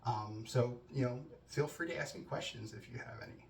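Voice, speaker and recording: Thirties man, relaxed chatty self-intro, faint room hiss. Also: medium pitch, fairly quick. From New Jersey, US. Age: 30s. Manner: conversational with frequent fillers and restarts. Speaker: student introducing himself. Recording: roomy, distant mic with faint ambience.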